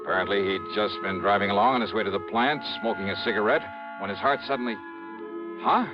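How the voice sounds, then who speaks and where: An American actor playing a brisk, probing insurance investigator in a 1950s radio drama, clipped and thinking aloud. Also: male voice, lowish voice, natural voice. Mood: measured narrating. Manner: clipped, urgent, thinking aloud in broken phrases. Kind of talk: 1950s American radio detective acting with first-person narration. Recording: dull vintage radio recording, music underneath.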